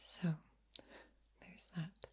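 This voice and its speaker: A woman with a low voice, whispering ASMR to the viewer in a soft, drawn-out, playful way and softly repeating words. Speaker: ASMR creator and streamer.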